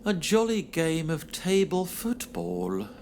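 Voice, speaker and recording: A man playing an old-fashioned gentleman ghost in an audio drama, dry and jovial. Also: high voice, animated, takes it slowly. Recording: faint noise behind.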